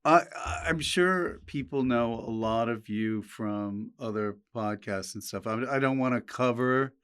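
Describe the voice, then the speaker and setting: A man talks in a smooth, clear voice of medium pitch. He hosts in a loose, casual way, with wry joking and quick interjections. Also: fairly bright tone. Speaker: podcast host. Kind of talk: casual chat.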